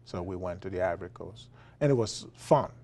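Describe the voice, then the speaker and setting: A man with a Liberian accent, a philosophy professor being interviewed, talking in a relaxed way with frequent fillers and chuckles. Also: sits low, brisk, a little hiss or hum. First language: Liberian English. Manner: chuckling, many uh fillers and restarts. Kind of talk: conversational interview.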